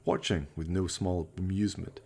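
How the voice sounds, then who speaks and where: Deep, gravelly male radio play narrator. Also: steady tone, moderate pace, darkish tone. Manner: arch, florid storytelling.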